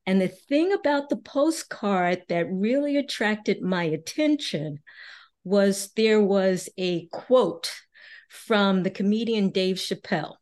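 A woman interviewing a guest, asking polite questions in a careful, deliberate way. She speaks slowly, with mid-range pitch and the occasional um. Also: animated, darkish tone. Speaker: interviewer, host.

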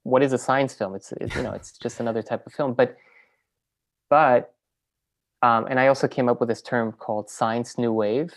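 A woman filmmaker and teacher, in a relaxed interview, who talks in loose, rambling sentences full of fillers and restarts. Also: a bass voice, moderate pace. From French Venezuelan, raised in New York. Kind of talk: casual interview conversation.

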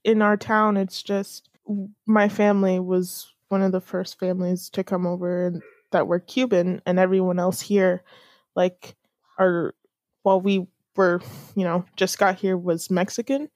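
A woman's voice: lowish voice, flat delivery, a little slow, a little mellow, dry recording. A young woman chatting casually with a friend, thinking aloud with many fillers and laughing often. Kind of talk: casual chat.

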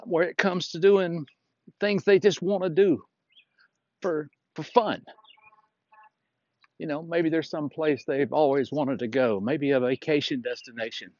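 Slow-talking man in his sixties, outdoors. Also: on the high side, no room sound, dull audio. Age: 60s. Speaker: fitness instructor and podcast host. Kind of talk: unscripted reflective solo talk. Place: outdoors, walking.

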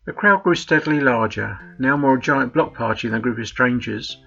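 A man's voice: medium pitch, brisk, gravelly, a bit bright, slightly rough, highs cut off. A man reading a short story aloud as scripted narration. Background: faint plucked guitar music.

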